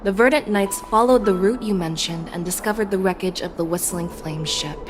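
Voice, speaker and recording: A woman's voice: mid-range voice, moderate pace, music in the background, lightly acted. A woman acting as a composed military envoy in a video game, speaking formally and articulately. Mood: businesslike.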